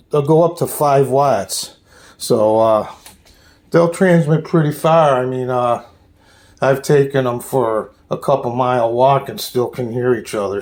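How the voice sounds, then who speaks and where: A man hosting a casual off-the-cuff hobby tour at home, talking in a halting, plain-spoken, rambling way. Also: mid-range voice, darkish tone. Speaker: hobbyist host giving a radio gear tour. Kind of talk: casual off-the-cuff show-and-tell vlog. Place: small room at home.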